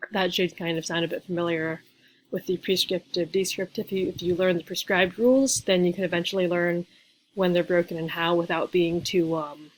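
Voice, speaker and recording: A woman's voice: fairly low pitch, a little hiss or hum, low-quality recording. Chatty young woman teaching on webcam. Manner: chatty, filler-heavy, playful asides. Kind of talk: casual slide lecture.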